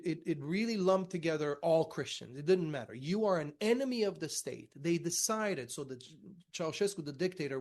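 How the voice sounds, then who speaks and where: A man from Romania speaking as a podcast guest, conversational, haltingly repeating words as he builds his point. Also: on the high side. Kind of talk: conversational discussion.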